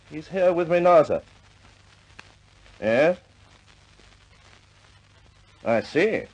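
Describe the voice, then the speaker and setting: An actor playing a middle-aged senior RAF commander in a 1950s British war film, speaking in a measured, clipped British accent with firm but kindly authority. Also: male voice, on the high side, darkish tone, slightly rough, exaggerated voice, rough recording. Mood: deferential.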